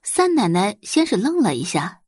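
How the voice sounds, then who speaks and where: A woman's voice: medium pitch, big pitch swings, moderate pace, darkish tone, slightly rough, dry recording, studio quality. A woman narrating a scripted dramatic audiobook story.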